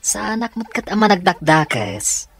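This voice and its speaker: A man playing a cocky, skirt-chasing young son in a radio drama, husky, with an Ilocano accent. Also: high-pitched, very animated, normal speed, a bit bright, dry recording, exaggerated voice. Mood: leering, cocky.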